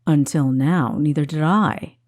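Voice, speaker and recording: A woman's voice: deep voice, measured pace, darkish tone. A woman voicing a good-natured male dinner guest in a storybook, with an easygoing, wistful manner. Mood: fond.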